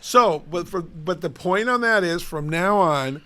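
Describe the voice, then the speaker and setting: A middle-aged man with a raspy voice, co-hosting a casual local chat show and telling stories in an animated, chatty way. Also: high voice, a little slow. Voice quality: raspy today. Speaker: co-host, city official.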